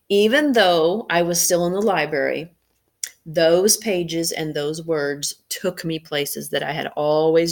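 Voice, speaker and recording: A woman reads as a storybook magician full of wonder. The delivery is earnest and emphatic, in a slightly low voice for children's storytime.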